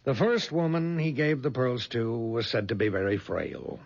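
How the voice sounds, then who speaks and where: An actor playing a brisk, businesslike head of a detective agency in an American radio drama, with a husky voice and an American accent. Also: male voice, medium pitch, expressive. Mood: matter-of-fact recounting. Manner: businesslike, explanatory. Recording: dull lo-fi 1970s radio recording.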